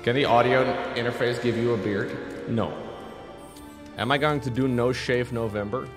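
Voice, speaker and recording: A man's voice: medium pitch, fairly even intonation, moderate pace, faint music behind. A man streaming from home, bantering jokingly with chat while testing voice effects on a desk mic. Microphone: desk mic through an audio interface.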